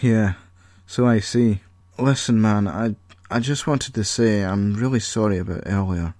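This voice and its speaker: A man's voice: fairly low pitch, measured pace, a little mellow, dry recording, clean studio sound, close to an everyday voice. A man voicing an easygoing young man who talks in a hesitant, apologetic way. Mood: sheepish. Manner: apologetic, hesitant, laid-back.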